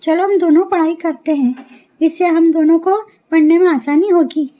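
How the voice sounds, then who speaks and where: A woman as high-pitched, dutiful young bird wife. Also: moderate pace, warm tone. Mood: caring, earnest. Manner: polite, earnest, soothing. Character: gentle, dutiful young daughter-in-law bird, caring and studious. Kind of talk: animated moral story acting.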